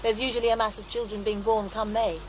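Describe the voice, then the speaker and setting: A middle-aged healer living in a commune , played by an actress, with an ordinary mid-range voice. She is wry, down-to-earth and gently teasing, in an audio drama with clear background ambience. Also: medium pitch, level tone, fast, lightly acted. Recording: noisy outdoor ambience bed.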